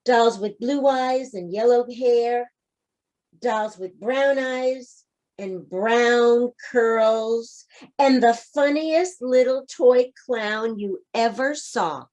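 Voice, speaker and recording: Slow, higher-voiced woman reading to children. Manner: expressive, addressing young children directly. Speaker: storybook reader. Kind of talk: children's picture-book read-aloud with asides.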